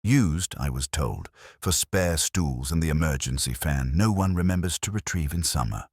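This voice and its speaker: A man playing a weary, middle-aged literary police inspector, narrating his case in a measured, reflective detective-drama style. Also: a bass voice, lively intonation, slightly rough, dry recording, clean studio sound, exaggerated voice. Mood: reflective, grave. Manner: measured, brooding, literary delivery. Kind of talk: scripted first-person detective narration.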